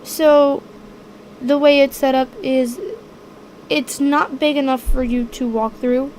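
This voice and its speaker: A woman's voice: sits high, steady tone, slow pace, a little mellow, a little hiss or hum, low-quality recording. A woman acting as game master, describing scenes hesitantly while searching for words and laughing easily. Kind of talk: improvised tabletop game narration.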